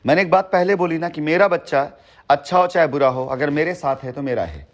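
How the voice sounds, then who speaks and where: Assertive middle-aged man, forceful phone talk. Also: fairly high pitch, lively intonation, a little fast, lo-fi sound. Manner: assertive, boastful, repeats himself. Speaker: company head on a phone call. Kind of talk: heated phone conversation.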